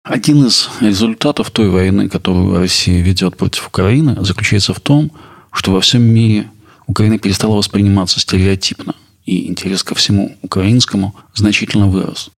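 A male podcast host delivers scripted narration. His voice is deep, with a lot of creak and a husky, rough edge. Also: a little fast.

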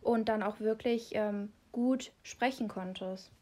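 A woman's voice: mid-range voice, slow pace, warm tone. A woman interviewer who asks long, careful questions and sums up what her guest has said.

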